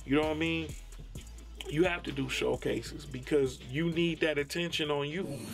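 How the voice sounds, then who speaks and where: A man's voice: on the high side, steady tone, normal speed, vocal fry. A middle-aged man, talking emphatically and profanely in a candid interview. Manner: emphatic, profane, tags phrases with "you know what I'm saying". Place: small foam-padded recording studio. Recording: faint music behind the voice.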